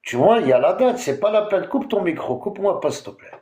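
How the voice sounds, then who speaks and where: A man's voice: sits high, sing-song, quick pace, mellow, roomy sound, dull audio. A man from Algeria, hosting a TikTok live and ranting at someone with many hesitations. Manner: confrontational, repetitive, full of hesitations. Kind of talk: heated live-stream rant.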